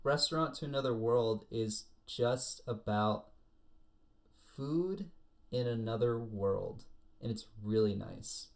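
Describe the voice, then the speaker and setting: Male podcast co-host, mid-pitched voice, animated storyteller with comic exaggeration, casual chat. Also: slow pace. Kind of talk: casual comedic podcast chat about anime.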